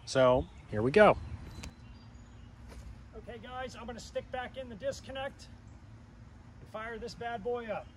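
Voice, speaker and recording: High-voiced man explaining repairs outdoors. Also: expressive, a little slow, long pauses, slight background noise. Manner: explains step by step, keeps checking in with "okay?". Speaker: HVAC technician. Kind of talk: hands-on how-to explanation. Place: outdoors by an air conditioning unit in a yard. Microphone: camera mic, handheld or on a tripod.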